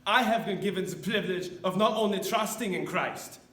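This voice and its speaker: A man performing a dramatic monologue as a haunted, repentant older former camp officer, speaking solemnly and deliberately with weighty pauses. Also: high-pitched, pushed and bright. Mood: resolute, defiant. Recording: echoey room, distant mic, faint noise.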